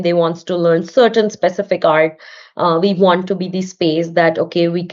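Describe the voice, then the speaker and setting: A young woman speaks with an Indian English accent, earnest and encouraging as she gives advice. Her voice sits a little low. The recording sounds slightly dull and muffled. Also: a little mellow. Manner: earnest, encouraging, with frequent restarts. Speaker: podcast guest, artist and studio owner. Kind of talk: casual interview talk.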